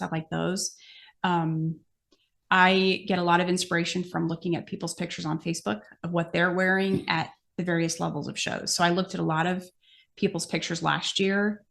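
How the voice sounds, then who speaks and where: Low, creaky-voiced American woman chatting. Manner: practical, explanatory, thinking aloud. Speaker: podcast guest host, amateur horse show exhibitor. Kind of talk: casual podcast Q&A chat.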